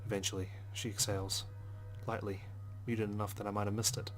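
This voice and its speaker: A brooding male police detective, read by a man narrates his inner thoughts in a visual novel. The low voice is measured and solemn, with little melody, over quiet music. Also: little pitch movement, close to an everyday voice. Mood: somber. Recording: music behind the voice.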